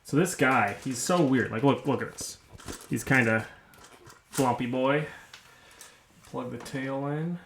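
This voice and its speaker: A man with a gravelly voice chatting casually and rambling in a self-mocking way while unboxing a toy at home. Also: medium pitch, measured pace, pauses often, noisy background. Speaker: vlogger unboxing toys.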